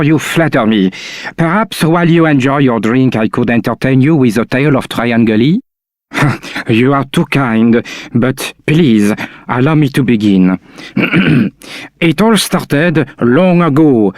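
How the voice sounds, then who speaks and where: An actor playing a deferential, courteous storyteller with a polite and formal manner. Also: male voice, medium pitch, unhurried, no echo, studio quality, lightly acted. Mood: courteous, earnest.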